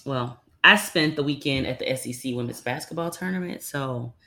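Laid-back woman podcast host, slightly raspy. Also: very deep voice, normal speed. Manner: relaxed storytelling with slang and fillers. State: a little raspy from early morning. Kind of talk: casual chat.

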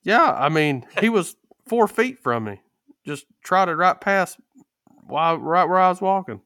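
Smooth-voiced man chatting casually, close and dry. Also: high voice, lively intonation, a little slow, bright, forceful tone, no room sound. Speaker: podcast co-host. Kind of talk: casual chat, storytelling.

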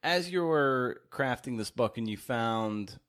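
A man's voice: mid-range voice, animated, slow, fairly bright tone. A man hosting a podcast, asking questions in a conversational interview style.

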